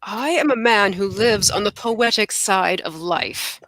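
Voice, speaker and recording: A woman's voice: mid-range voice, unhurried, a bit bright, dry recording. A woman at a table read playing an idealistic, romantic male poet with a theatrical, lyrical delivery. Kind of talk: cold table read of a stage play.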